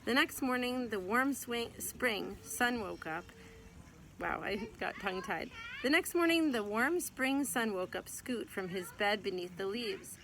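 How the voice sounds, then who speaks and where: A woman reads a picture book to young children, drawing out words for expression. Her voice sits at an average pitch for a woman. It is a homemade recording with clear background noise. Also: animated, unhurried. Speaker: teacher reading aloud to young children. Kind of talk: picture-book read-aloud.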